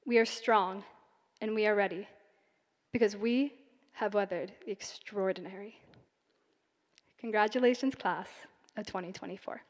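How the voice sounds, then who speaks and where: A young woman speaking earnestly at a podium as class valedictorian, giving a commencement speech in a large concert hall. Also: medium pitch. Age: young adult. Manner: earnest, measured delivery. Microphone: podium microphone. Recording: long hall reverb.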